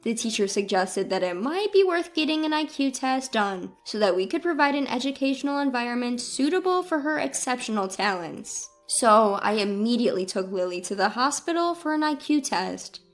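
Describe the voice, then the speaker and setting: A woman's voice: fairly high pitch, animated, moderate pace, hardly any pauses, soft background music. A woman reading a scripted first-person family story as its narrator.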